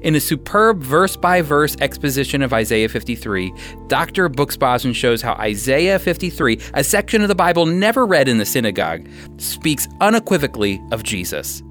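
A man giving a scripted radio sermon as host and Bible teacher, speaking earnestly with deliberate, emphatic phrasing. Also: medium pitch, sing-song, a bit bright, faint music behind.

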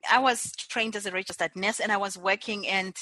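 A woman talks through her story as an interview guest, earnest and unhurried in long runs of speech. Her voice is bright and forward. The recording is dry and close. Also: medium pitch, fairly even intonation, dry recording. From Zimbabwe. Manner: earnest, talks in long connected stretches with small restarts. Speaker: guest, entrepreneur.

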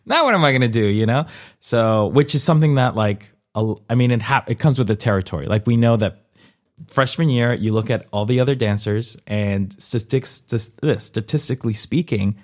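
A man's voice: mid-range voice, brisk. A young man from Colombia chatting casually as an interview guest, informal and hesitant, often rephrasing himself and checking for agreement. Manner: informal, hesitant and self-correcting, often seeking agreement with "you know". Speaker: interview guest, comedian and former dancer. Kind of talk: casual conversational interview, personal storytelling.

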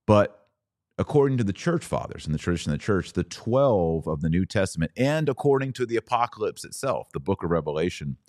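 A man with a deep, low voice hosts a religious teaching podcast. The recording is close and dry. He explains scripture emphatically, stretching important words and asking rhetorical questions to lead the listener along. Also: very animated, brisk, darkish tone, no echo. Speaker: podcast host.

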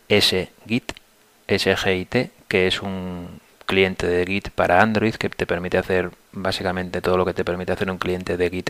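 Man, hoarse, low gravelly voice, flat delivery, casual rambling podcast, headset mic. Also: dry recording. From Asturias, Spain. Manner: relaxed, rambling, many fillers and restarts. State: hoarse from a flu. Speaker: podcast host. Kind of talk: casual solo podcast monologue. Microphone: headset mic on a computer.

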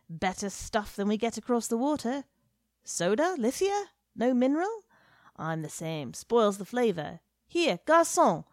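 A woman voicing a loud, worldly male journalist who brags jovially, in a dry recording. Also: mid-range voice, dry recording, pitched above the performer's own voice, lightly acted. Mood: boisterous. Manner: brash, swaggering, jovial. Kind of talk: audiobook character reading.

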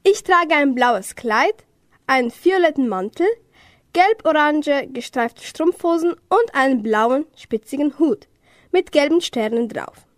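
A high, youthful witch acted by a young woman from a children's amateur radio play. She is scatty and easily riled, proudly describing her world. The sound is very dry. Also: expressive, measured pace, no echo. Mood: chatty, proud.

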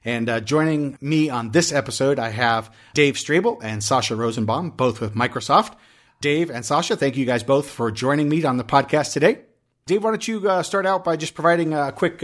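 A man's voice: fairly high pitch, fairly quick. A man hosting a podcast interview, talking in a chatty way with many restarts and hesitations. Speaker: podcast host.

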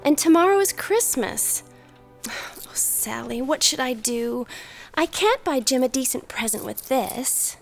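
Radio drama: anxious, devoted young wife, high pitch, sighing, hushed moments, some fry. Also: female voice, expressive, measured pace, faint music behind, full character voice. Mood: worried, tearful. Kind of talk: stage-style radio drama acting.

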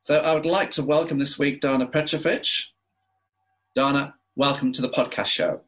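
A man hosting a podcast interview, talking in a rambling, halting way with many fillers. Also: medium pitch, steady tone. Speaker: podcast host. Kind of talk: casual interview.

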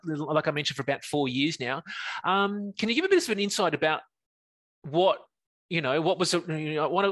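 A man with a fairly high, bright voice hosts a casual online interview. He is chatty and often doubles back on his words. The sound is close and dry. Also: no echo. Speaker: host of a webinar success-story show. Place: live video call online.

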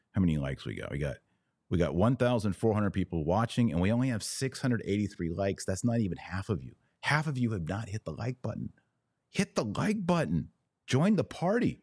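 Casting: male podcast host, very low voice, close dry sound, emphatic religious commentary delivered live. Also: darkish tone, no room sound. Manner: emphatic and preachy, with short declarative repeats.

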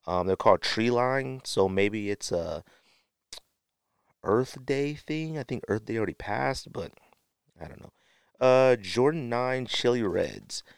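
A man's voice: mid-range voice, animated, takes it slowly, creaky, clean tone, dry recording, studio quality. A man hosting a podcast solo in a casual, rambling, slangy way, sniffling a little with a stuffy nose. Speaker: podcast host.

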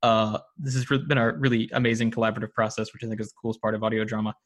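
A man's voice: on the low side, little pitch movement, rapid-fire. A man talking as an interview guest, enthusiastic and full of filler words like um and uh. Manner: enthusiastic, many ums and uhs. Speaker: podcast guest, audio drama producer. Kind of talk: casual interview talk.

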